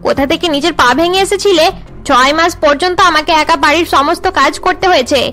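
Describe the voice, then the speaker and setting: High-pitched, spiteful scolding daughter-in-law. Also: female voice, brisk, sharp tone, soft background music. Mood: scornful, angry. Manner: scolding, domineering. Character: harsh, spiteful daughter-in-law in a family feud. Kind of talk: cartoon family melodrama acting.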